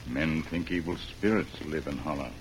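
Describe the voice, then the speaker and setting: An actor playing a stoic, loyal scout sidekick in an old-time radio western, talking in terse, stilted broken English. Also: male voice, a bass voice, normal speed, a little hiss or hum, poor audio. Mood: low, guarded.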